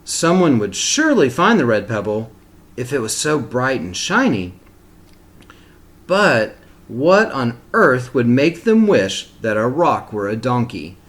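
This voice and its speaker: A man reading a picture book aloud to young children as a teacher, pausing for simple explanatory asides. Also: mid-range voice, sing-song, fairly bright tone.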